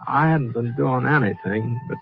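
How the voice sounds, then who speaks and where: A male actor as a soft-hearted cowboy drifter in an old radio western. He speaks American English in a courteous, folksy way, stumbling gently over his words at a middling pitch. The sound is narrow and boxy, like a vintage broadcast. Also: moderate pace, gravelly, natural voice. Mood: weary, narrating. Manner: folksy, hesitant, stammering. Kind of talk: old-time radio western drama acting. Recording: muffled, thin vintage radio recording.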